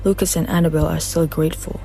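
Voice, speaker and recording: A woman's voice: deep voice, fairly even intonation, fast, gravelly, dark tone, low-quality recording. A woman reading the narration of a student radio drama carefully, with some slips. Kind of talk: read-aloud narration. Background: music.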